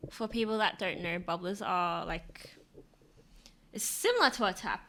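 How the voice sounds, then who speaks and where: Bright-voiced Australian woman. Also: on the low side, animated, normal speed. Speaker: podcast co-host. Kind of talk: casual chat.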